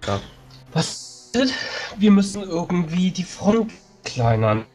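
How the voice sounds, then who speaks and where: A man playing a tabletop game, planning a battle while thinking aloud with many pauses and fillers. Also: high voice, sing-song, darkish tone, soft background music. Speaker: tabletop player.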